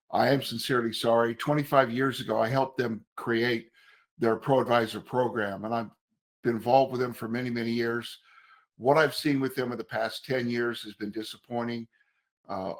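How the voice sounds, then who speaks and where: A man in his fifties, an accountant introducing himself on a webinar in an earnest, friendly, deliberate way. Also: medium pitch. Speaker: accountant guest.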